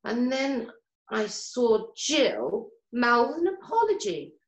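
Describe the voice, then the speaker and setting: A woman's voice: on the high side, sing-song, takes it slowly, heavily acted. An actress playing a chatty, fussing, irritable middle-aged mother in a radio play, gossipy and exasperated. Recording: roomy, echoing sound.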